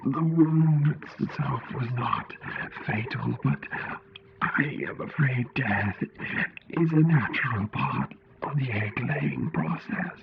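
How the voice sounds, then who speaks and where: A solemn, gentle alien creature at death's door in an audio story. It speaks extremely slowly, in weak, formal, laboured phrases. The sound is muffled and distorted under clear rumbling noise. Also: male voice, expressive. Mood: weak, resigned. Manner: formal, halting, resigned. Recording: muffled, heavy noisy rumble.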